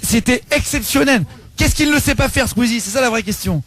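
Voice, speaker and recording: A male radio host speaks very quickly in a high register. His banter is effusive and informal, with repeated words and stumbles. Music plays behind him in the studio. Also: a bit bright. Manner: effusive, stammering repetition, informal.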